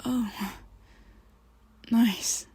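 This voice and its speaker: A woman in an ASMR roleplay, talking to the listener as a worried best friend, halting and rambling, often sinking into a soft whisper close to the mic. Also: dry recording. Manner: hesitant and rambling, halting restarts.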